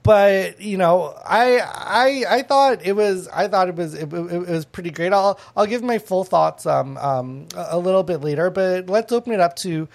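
A podcast host talks loosely to a panel at an even, mid-range pitch. The delivery is chatty, with lots of fillers and false starts. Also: moderate pace, vocal fry, hardly any pauses. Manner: chatty, many fillers and restarts. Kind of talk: casual panel chat.

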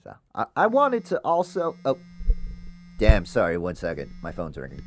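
Bumbling male ghost-hunter boss, low pitch, swooping intonation, hesitant, repetitive, comedic audio drama. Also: wildly swooping pitch, moderate pace, a little hiss or hum. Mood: casual, boastful. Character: eager, scattered male amateur ghost-hunting boss.

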